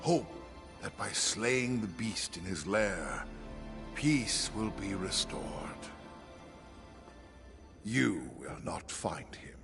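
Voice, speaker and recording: Stately male monarch, theatrical and solemn, unhurried delivery, clear game score behind. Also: lowish voice, animated, full character voice. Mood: solemn, persuasive. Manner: grave, measured, theatrical. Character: solemn, stately male ruler recounting prophecy. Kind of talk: video game cutscene acting, formal storytelling. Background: music.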